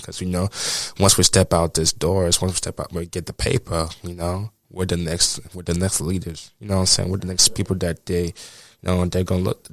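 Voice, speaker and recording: A man's voice: low voice, fairly bright tone, no echo. A man in his twenties hosting a podcast, talking casually and rambling, with lots of "you know what I'm saying". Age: 20s. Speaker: podcast host. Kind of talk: casual chat.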